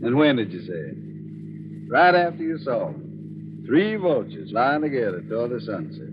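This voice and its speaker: A man's voice: mid-range voice, moderate pace. An American man playing a friendly, teasing Western rancher in a folksy 1940s radio acting style. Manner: folksy, animated. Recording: muffled old radio, noisy car ambience.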